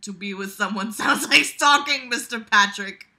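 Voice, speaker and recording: Woman reading as bright, teasing teenage girl. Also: on the high side, moderate pace, vocal fry, full character voice. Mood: playful. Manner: teasing, playful. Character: playful, teasing, affectionate teenage schoolgirl.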